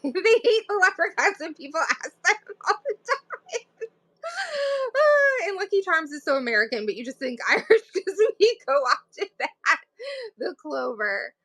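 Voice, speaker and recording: A woman's voice: very high voice, sing-song, unhurried, long pauses, a bit bright, no echo. A woman from New England, an author chatting casually as a guest and laughing often as she talks. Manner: giggly, laughs often while talking. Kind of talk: casual chat.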